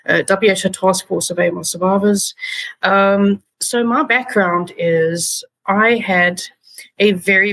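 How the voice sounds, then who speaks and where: South african woman guest on a podcast, kinda husky lowish voice, earnest, lots of ums. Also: fairly bright tone, poor audio. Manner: earnest, many fillers and restarts. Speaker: guest, patient advocate. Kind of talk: casual interview talk.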